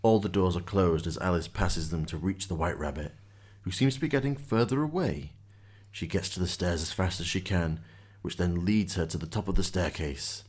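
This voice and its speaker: A man's voice: sits low, normal speed, darkish tone, husky. A man reading scripted narration as the storyteller of a radio drama.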